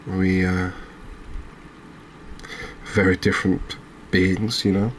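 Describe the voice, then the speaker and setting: A man with an English accent giving soft-spoken ASMR game commentary in a hushed, unhurried, hesitant manner. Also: low voice, level tone, a little hiss or hum, rough recording. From England. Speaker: gaming video host.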